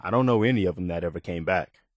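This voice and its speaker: An actor playing an earnest, dutiful militia soldier in a post-apocalyptic video game, speaking in a plain, folksy way. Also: male voice, deep voice, quick pace, no echo, clean studio sound, close to an everyday voice. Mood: earnest, wary. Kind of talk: video game companion voice lines.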